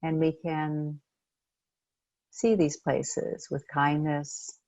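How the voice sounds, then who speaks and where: Low-pitched, creaky woman guiding meditation. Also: level tone, dry recording. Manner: gentle, unhurried, pausing between phrases. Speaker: meditation coach. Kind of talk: guided meditation.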